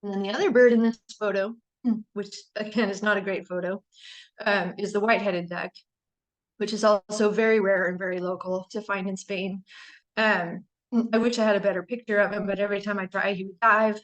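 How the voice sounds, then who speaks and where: A woman's voice: mid-range voice, steady tone, brisk, fairly bright tone, poor audio. Woman with a fading, throaty voice. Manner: frequent throat-clearing and filler ums; enthusiastic, chatty. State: losing her voice. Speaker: presenter of a birding slide talk. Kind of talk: casual slide presentation.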